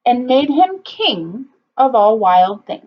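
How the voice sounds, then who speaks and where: Woman, storybook read-aloud, unhurried, expressive, pausing often, slightly lo-fi sound. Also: fairly high pitch. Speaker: storybook reader. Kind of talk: read-aloud children's picture book narration.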